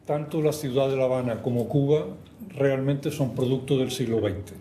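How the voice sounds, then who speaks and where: An older man with a Catalan-tinged Cuban Spanish accent gives a slow, measured talk, pausing often for his interpreter. His voice is mellow and dark. The recording is echoey, with faint hiss from the lecture hall. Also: mid-range voice, steady tone. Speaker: guest speaker. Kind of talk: lecture presentation with slides.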